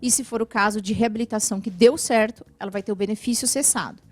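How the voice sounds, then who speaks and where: A Brazilian woman answering viewers' questions about benefits in a TV interview, explaining patiently and clearly, often adding "né". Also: mid-range voice, steady tone, fast talker, a little mellow. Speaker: social security lawyer guest. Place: TV studio.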